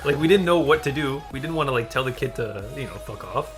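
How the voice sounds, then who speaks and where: A man's voice: medium pitch, animated, fast. Young man, casual storyteller, amused, rambling, swears casually, light background music. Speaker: gaming channel co-host. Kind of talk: casual storytelling chat over gameplay.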